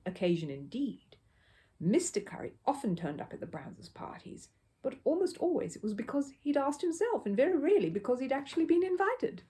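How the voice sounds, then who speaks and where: A woman narrates a children's audiobook in a mellow, rounded voice. Her medium-pitched delivery is expressive and very varied in melody, as a storyteller's is.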